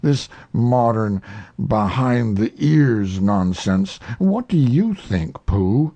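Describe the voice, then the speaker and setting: A gloomy old male donkey, acted out by a man for a storybook. He grumbles in a slow, drawn-out drawl with a mellow, dark tone. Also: mid-range voice, animated, pitched above the performer's own voice, full character voice. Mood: gloomy grumbling.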